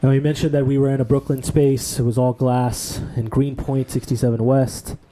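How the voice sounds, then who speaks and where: A man from Bangladesh, co-host of a podcast, telling his life story in a relaxed, rambling way. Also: mid-range voice, moderate pace, a little hiss or hum, rough recording. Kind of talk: casual podcast conversation.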